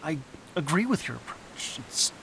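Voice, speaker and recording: An actor playing a reluctant, troubled anthropologist in an audio drama, measured and hesitant, with earnest, evasive delivery. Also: male voice, fairly high pitch, very animated, creaky, background noise. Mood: hesitant, guarded.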